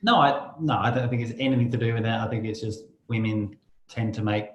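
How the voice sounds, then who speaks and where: A man's voice: on the low side, steady tone, fairly quick. A man hosting a casual interview in a rambling, dryly joking way. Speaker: podcast host. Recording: roomy, echoey sound.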